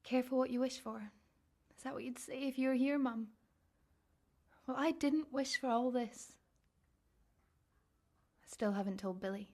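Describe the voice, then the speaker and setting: An actress playing an overwhelmed, grieving young daughter confiding in a radio drama monologue. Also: female voice, high-pitched, moderate pace, long pauses, darkish tone. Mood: tender, sad. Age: young adult.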